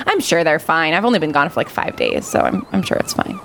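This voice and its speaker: A woman improvising as a player in a tabletop game, talking casually and haltingly, amused at herself. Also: low-pitched, huge pitch swings, creaky, a bit bright, a little hiss or hum. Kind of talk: casual improvised role-play and narration.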